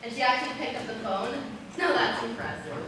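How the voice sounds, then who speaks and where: A woman's voice: a little high, very animated, brisk, recorded from a distance, very noisy. A woman playing a guarded, defiant, neglected teenage schoolgirl in an amateur stage drama, recorded on an echoey stage. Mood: defiant, defensive. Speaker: actor in a school play.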